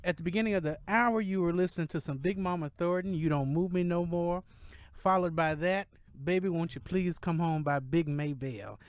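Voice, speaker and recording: A man's voice: high-pitched, brisk, clear, resonant tone, no room sound. An American man hosting a conversational radio interview, enthusiastic and encouraging with his guest. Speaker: radio show host, interviewer. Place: radio studio. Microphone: studio microphone.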